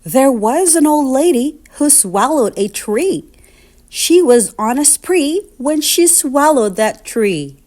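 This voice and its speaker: Female storybook reader for young children: higher-pitched, unhurried, very lively sing-song delivery. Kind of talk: read-aloud picture book narration.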